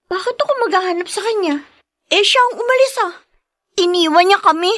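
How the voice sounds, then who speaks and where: A dutiful teenage girl in a radio drama. Her voice is very high and youthful, recorded dry and close. She sounds caring yet weary, with a plain, reproachful way of talking. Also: expressive, a little fast, a bit bright, dry recording. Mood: bitter. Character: dutiful, weary fifteen-year-old daughter of a drunkard.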